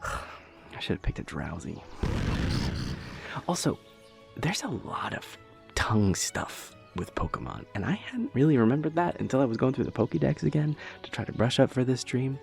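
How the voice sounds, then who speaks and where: A man's voice: medium pitch, sing-song, moderate pace, creaky, music in the background. A young man playing a dorky, earnest boyfriend in an intimate audio roleplay, rambling hesitantly and nerdily with playful self-deprecation. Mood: amused, unsure. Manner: hesitant, rambling, nerdy explaining.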